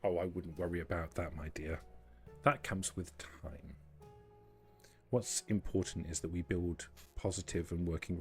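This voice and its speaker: Man voicing a low, courteous, guarded vampire noblewoman. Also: a little mellow. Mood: reassuring, measured. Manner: formal, measured, polite. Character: courteous, guarded female vampire envoy, measured and diplomatic.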